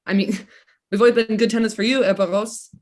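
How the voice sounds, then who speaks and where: A woman acting a tender, worried wartime mother who pleads haltingly, in an amateur stage reading. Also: mid-range voice, fairly even intonation, a little mellow, close to an everyday voice. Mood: worried pleading. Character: worried young mother and wife in wartime Budapest. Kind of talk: amateur stage play reading over video call.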